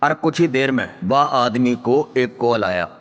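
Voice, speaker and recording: A man's voice: medium pitch, lively intonation, unhurried, a bit bright, long reverb tail, dull audio. A man with a Haryanvi accent narrating an audio drama in a dramatic scripted storytelling style.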